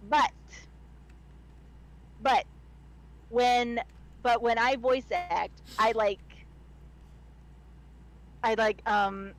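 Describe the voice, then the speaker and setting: A woman with a strong Midwestern American accent and a nasal, slightly high voice. She talks in a quick, excitable way, circling back on her words when she gets going. Her chat is loose and full of swearing. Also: poor audio. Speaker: guest voice actor. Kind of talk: casual livestream chat.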